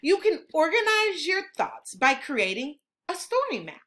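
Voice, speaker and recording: Bright, animated woman reading to young children. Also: sits high, a little slow. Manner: expressive, instructional, addressing young children. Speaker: teacher reading a picture book aloud. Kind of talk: animated children's read-aloud.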